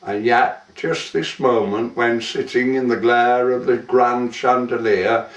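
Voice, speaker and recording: Man reciting as a wistful young heiress, slow, echoey. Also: mid-range voice, lo-fi sound. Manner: theatrical, measured, with lingering pauses. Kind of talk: dramatic poetry recitation. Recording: roomy echo, distant microphone.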